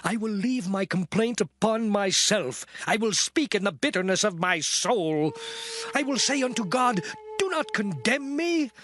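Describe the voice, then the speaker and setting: A man's voice: high voice, expressive, measured pace, fairly bright tone. An actor playing a weary, anguished man pleading with God in a solemn, impassioned, theatrical scripture drama over music. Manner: solemn, impassioned, declamatory, archaic phrasing. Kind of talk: dramatized Bible reading, theatrical monologue. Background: music behind the voice.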